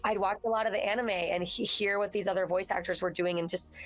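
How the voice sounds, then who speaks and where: A woman with a naturally raspy voice, a voice actress answering interview questions in a loose, rambling way. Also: medium pitch, fairly even intonation, fast talker, faint music behind. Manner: rambling, restarts sentences, acts out her own thoughts. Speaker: voice actress guest. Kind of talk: casual interview chat.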